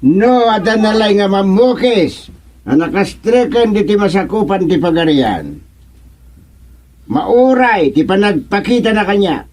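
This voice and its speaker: A doubtful, fussy monarch in a comic radio play. He has a high voice and a Filipino accent, blustering and hesitating as he exclaims. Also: very animated, a little slow, a little mellow, a little hiss or hum. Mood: agitated. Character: fussy, doubtful comic king with threatening temper. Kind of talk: Filipino radio drama comedy acting.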